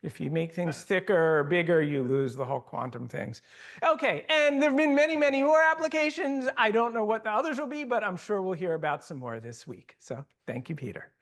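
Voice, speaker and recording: Animated man giving an academic lecture. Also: sits high, a little fast. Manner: animated, self-correcting, thinking aloud. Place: lecture hall.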